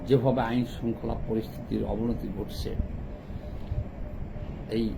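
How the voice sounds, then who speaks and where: A man's voice: medium pitch, level tone, a little slow, vocal fry, background noise. A middle-aged man with a Bangladeshi accent gives impromptu political commentary, emphatically and in short broken phrases.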